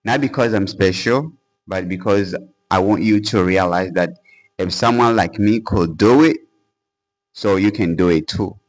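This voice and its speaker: A man's voice: deep voice, unhurried, rough recording. Earnest young man, English. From Portuguese-speaking country. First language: Portuguese. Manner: earnest, casual with "bro" and fillers. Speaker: English-learning YouTuber. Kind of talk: motivational talk to camera.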